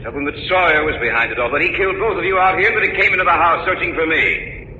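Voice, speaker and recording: Sharp, menacing radio-thriller villain. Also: male voice, on the high side, quick pace. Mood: cold gloating menace. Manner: menacing, sneering. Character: gloating murderous man holding a gun, scheming and contemptuous. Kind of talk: 1940s radio thriller acting. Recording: echoey, muffled vintage radio recording with faint noise.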